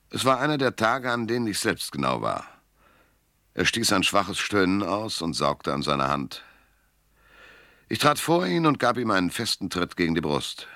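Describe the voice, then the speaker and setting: Very deep, gravelly hardboiled detective. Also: male voice, bright, forceful tone, no room sound, exaggerated voice. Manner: dry, laconic, sardonic. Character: hardboiled, cynical male private detective, narrator of his case. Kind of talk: German radio crime drama, first-person noir narration and dialogue.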